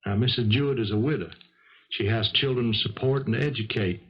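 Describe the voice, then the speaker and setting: An actor playing a weary, principled businessman in a 1970s radio drama, earnest and moralizing, with a husky voice. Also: mid-range voice, a little fast, natural voice. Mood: earnest, resolute. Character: conscience-stricken businessman, principled, resolute and weary. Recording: muffled vintage 1970s radio recording.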